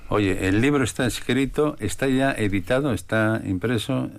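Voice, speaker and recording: A man's voice: mid-range voice, normal speed, gravelly. A man hosting a radio interview, asking plain, inquisitive questions.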